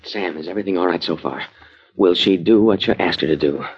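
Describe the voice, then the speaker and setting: An American actor playing a treacherous brother feigning calm concern in an old-time radio crime drama, with a husky voice. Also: male voice, low-pitched, a little fast, noisy background. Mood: uneasy. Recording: muffled vintage radio broadcast recording.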